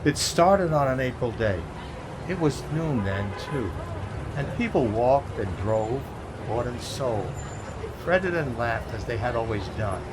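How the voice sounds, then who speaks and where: Male actor as regretful radio-theater narrator, mid-pitched, deliberate and reflective, over a noisy recording. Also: lively intonation, close to an everyday voice. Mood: rueful, reflective.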